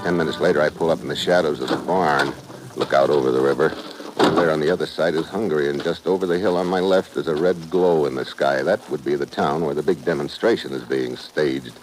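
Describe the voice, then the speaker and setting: A man's voice: very deep voice, a little fast, noisy background. An actor playing a tough, terse American secret agent who narrates his own adventure in old radio drama style. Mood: tense narration. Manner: clipped, hard-boiled, matter-of-fact. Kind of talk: 1950s radio adventure acting, hard-boiled narration. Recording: vintage radio drama recording.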